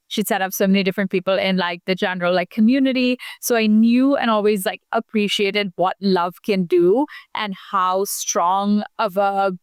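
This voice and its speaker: Chatty young woman from india, lots of likes, smooth voice, dry room. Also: mid-range voice, no room sound. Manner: chatty, many fillers, outgoing. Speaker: podcast guest, founder and matchmaker. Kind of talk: casual interview talk.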